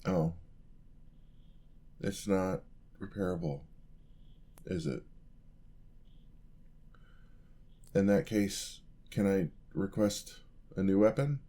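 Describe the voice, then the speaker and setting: A man's voice: deep voice, slow, long pauses, warm tone. A man playing a clumsy, earnest young paladin apprentice in a fantasy ASMR roleplay, with a hesitant, bashful, polite stammer. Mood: earnest, pleading.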